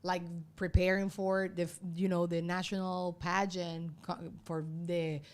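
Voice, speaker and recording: A woman's voice: on the low side, little pitch movement, unhurried, clean tone. Woman host with a Spanish accent, native Spanish speaker; chatty, giggly, rambling livestream interviewer. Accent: Venezuelan Spanish.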